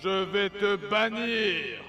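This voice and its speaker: Mec qui fait un esprit invoqué pompeux et susceptible, voix assez haute pour un homme, débit lent, musique derrière. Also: male voice, high voice, slow pace. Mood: imperious, offended. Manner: solemn, pompous, declamatory. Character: pompous summoned male spirit, stern and easily offended. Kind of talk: comedic fan audio drama. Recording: music behind the voice.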